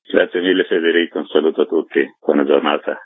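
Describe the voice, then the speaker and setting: A man answering as an interview guest, reflective and halting, repeating words for emphasis. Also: very deep voice, fairly even intonation. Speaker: film director, interview guest. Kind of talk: spontaneous radio interview answers. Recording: dull lo-fi line with roomy echo.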